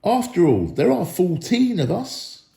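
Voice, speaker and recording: Man putting on an eager high-pitched dwarf, echoey. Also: wildly swooping pitch, unhurried, creaky, mellow, heavily acted. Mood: eager urging. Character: eager, insistent dwarf adventurer urging his companions on.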